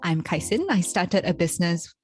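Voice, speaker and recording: A woman's voice: fairly low pitch, normal speed, a little mellow, soft background music. A woman hosting a podcast, upbeat and welcoming as she introduces the episode and her guest. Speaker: podcast host.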